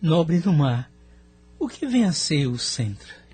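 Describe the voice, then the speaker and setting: A man's voice: fairly high pitch, sing-song, slow pace, a little mellow, slightly rough, faint music behind, heavily acted. An actor playing a courteous, inquisitive man from Earth in an audio drama, with formal, scripted phrasing. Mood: curious.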